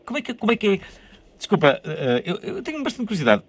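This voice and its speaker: A man with a European Portuguese accent hosting a casual radio interview, inquisitive and halting, often interjecting. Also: high voice, huge pitch swings, dry recording. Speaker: radio show host. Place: radio studio.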